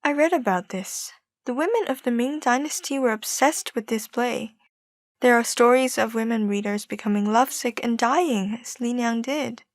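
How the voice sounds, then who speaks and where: An actress plays an outspoken young intellectual woman in a stage play. The voice is high, earnest and provocative, in a dry recording. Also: moderate pace, no echo, lightly acted. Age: young adult.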